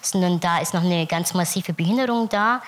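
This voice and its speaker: A woman in her thirties, born in Turkey, telling her own story as a guest in a TV studio, frank and quick to laugh. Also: fairly low pitch. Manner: frank, laughs easily, many fillers. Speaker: talk show guest. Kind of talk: casual chat. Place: TV studio with audience. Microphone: lapel.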